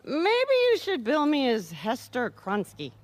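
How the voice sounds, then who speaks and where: A woman's voice: high-pitched, wildly swooping pitch, a little slow, full character voice. An actress playing a tipsy, flippant wife in a radio mystery, rambling breezily through her answers. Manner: breezy, rambling, blasé about murder.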